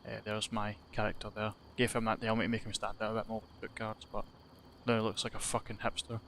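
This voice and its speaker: A man's voice: fairly low pitch, completely flat delivery, fast talker, a bit bright, a little hiss or hum. Rambling Scottish man, swearing casually. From Scotland. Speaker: gaming YouTuber. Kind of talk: casual gameplay commentary.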